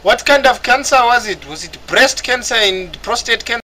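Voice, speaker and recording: A man's high, bright voice cuts through a gaming voice chat. He probes the other players with blunt, mocking questions. His tone stays teasing and provocative. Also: lively intonation, normal speed. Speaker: guild member on voice chat.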